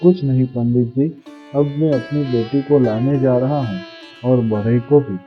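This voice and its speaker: A man's voice: mid-range voice, unhurried, lo-fi sound, faint music behind, lightly acted. A man playing a worried, loving merchant father in a Hindi moral story cartoon, speaking earnestly.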